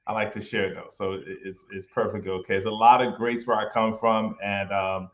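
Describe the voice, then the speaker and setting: A man's voice: fairly low pitch, moderate pace. A man from New York, a real estate investor, talking casually and energetically with lots of slang as a guest on a livestream. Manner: energetic, loose, slangy and friendly. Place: home in Atlanta, echoey room. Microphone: webcam or camera mic on a video call. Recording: echoey, dull video-call audio.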